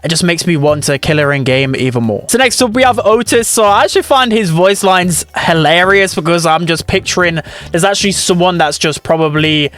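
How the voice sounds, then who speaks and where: A man's voice: on the high side, animated, fairly quick, few pauses, a bit bright. A man hosting a casual, opinionated ranking commentary in a chatty, informal way with exaggerated opinions. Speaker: video creator and host.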